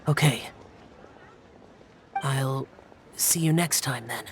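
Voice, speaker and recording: A man voicing an aloof, reserved young immortal guardian in a video game, terse, restrained and breathy. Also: mid-range voice, slow pace, noisy background, close to an everyday voice. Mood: aloof, subdued. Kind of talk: English video game voice acting.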